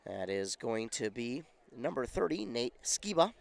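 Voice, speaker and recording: A man calling a football game on local radio, his voice going scratchy and hoarse, rising with excitement on big plays. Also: medium pitch, unhurried. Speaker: play-by-play announcer.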